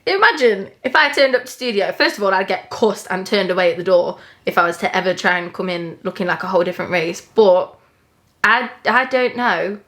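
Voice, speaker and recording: A woman's voice: mid-range voice, expressive, a little fast, a bit bright. A British woman in casual group chat, speaking informally with an incredulous manner.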